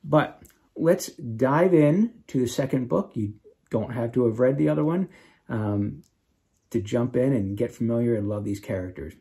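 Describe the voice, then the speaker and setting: A man reading aloud to children as an author, with expressive, dramatic emphasis and casual asides. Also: medium pitch, moderate pace, slightly rough. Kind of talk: animated storybook read-aloud with casual asides.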